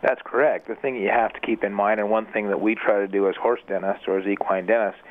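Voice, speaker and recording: A male expert guest speaking in a measured, methodical way, explaining the details of his work. His voice is somewhat low and reaches the show over a thin, tinny phone line. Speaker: equine dentist guest. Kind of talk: explanatory radio interview.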